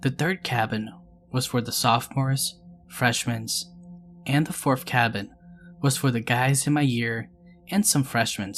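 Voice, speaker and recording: Calm man reading scary stories. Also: medium pitch, a little slow, soft background music. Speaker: storytelling channel host. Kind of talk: scripted narration of scary stories.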